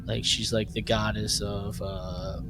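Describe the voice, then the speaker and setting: A man co-hosting a podcast in a casual fan discussion, animated and rambling as he searches for words. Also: lowish voice, slow, over music. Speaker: podcast co-host. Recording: clear background noise throughout.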